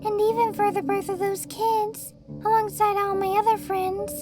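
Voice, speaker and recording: A woman voicing a gentle, weary pony mare who serves as Death, speaking softly, tenderly and wistfully in an audio drama. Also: extremely high pitch, little pitch movement, normal speed. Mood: tender, nostalgic. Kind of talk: audio drama character dialogue. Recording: loud music bed, slightly distant. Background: loud music.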